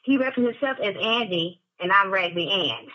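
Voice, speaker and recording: Woman, 40s, very bright voice, frank and expressive caller. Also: mid-range voice, brisk, poor audio. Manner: candid and laughing, dramatic exclamations. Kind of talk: casual chat.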